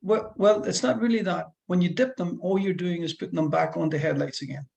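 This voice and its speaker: A man's voice: high voice, fairly even intonation, fairly quick, vocal fry, a little mellow. A middle-aged man with a Fife Scottish accent, a driving instructor explaining things in a chatty, rambling way with many restarts. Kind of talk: one-to-one lesson over a call.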